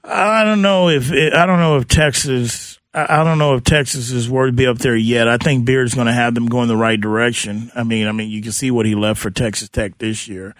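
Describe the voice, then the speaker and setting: A man's voice: mid-range voice, fairly even intonation, fairly quick, few pauses, fairly bright tone, no echo. A man hosting a sports radio show in an informal, conversational way. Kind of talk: casual sports talk.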